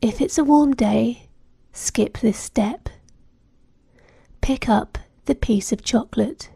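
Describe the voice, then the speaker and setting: A woman leads a guided meditation, gently and soothingly. Her voice is breathy with a touch of fry at times, and she sounds close and dry, with no room around her. The instructions are calm and measured. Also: fairly high pitch, no echo.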